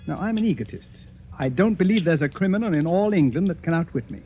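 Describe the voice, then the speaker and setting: Man playing pompous, mellow radio master detective. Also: on the high side, expressive, slight background noise, close to an everyday voice. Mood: smug, commanding. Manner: pompous, deliberate. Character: self-satisfied, theatrical English master detective, a knighted egotist. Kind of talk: old-time radio horror acting. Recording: muffled vintage radio recording, faint music.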